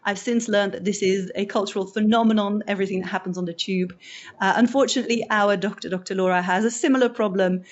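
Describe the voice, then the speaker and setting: A woman's voice: mid-range voice, fast talker, dull audio. A woman from Belgium, not a native English speaker, giving a conversational talk as an event host, acting out little quotes and restarting her sentences. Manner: animated, acts out quotes with restarts. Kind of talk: conversational presentation.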